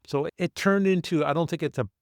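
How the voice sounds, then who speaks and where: An older man whose first language is Finnish, telling his family story in an interview, unhurried and often restarting his sentences. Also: a little high, gravelly, clear, resonant tone, no room sound, studio quality. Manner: unhurried, with halting restarts and self-corrections. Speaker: guest.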